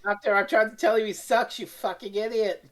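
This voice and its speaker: A woman's voice: mid-range voice, little pitch movement, a little fast. A woman co-hosting a review, recapping a story in casual chat. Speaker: podcast co-host reviewing an audio drama.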